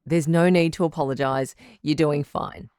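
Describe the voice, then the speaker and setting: A woman playing a calm, supportive radio advice doctor in an audio drama, speaking in a measured, articulate, professional counselling tone. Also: low voice, dry recording, clean studio sound, close to an everyday voice. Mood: welcoming, professional. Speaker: radio advice show host, sex therapist.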